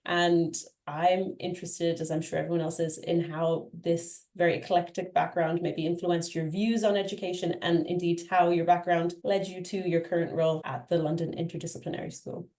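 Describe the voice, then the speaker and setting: A woman hosting a podcast interview, asking questions with warm enthusiasm. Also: fairly low pitch, level tone, normal speed, few pauses, echoey room. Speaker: podcast host.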